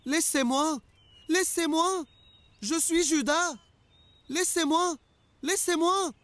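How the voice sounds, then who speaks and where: An actor playing a servile, nervous traitor disciple in a cartoon. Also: male voice, extremely high pitch, slow, a bit bright, dry recording, exaggerated voice. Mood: panicked. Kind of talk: animated cartoon acting.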